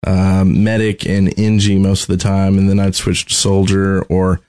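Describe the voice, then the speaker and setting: A man's voice: deep voice, monotone, moderate pace, dark tone. A man co-hosting a gaming podcast, chatting casually with a wry, joking manner. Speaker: podcast co-host.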